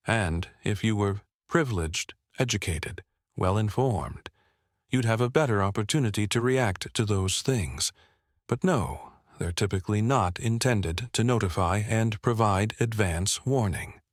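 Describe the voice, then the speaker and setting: A man's voice: lowish voice, no room sound, studio quality. A man in his fifties from Vietnam, whose first language is French, giving an explanatory oral history interview peppered with "right?" check-ins. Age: 50s. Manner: explanatory, often checks with "right?" and "you know".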